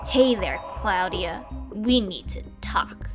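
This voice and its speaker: A woman's voice: medium pitch, lively intonation, takes it slowly, loud music behind the voice. A woman voicing a bossy, foul-tempered woman trapped as a little girl, ranting sarcastically with cutesy stand-in swears, in a comic fan dub. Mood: sarcastic.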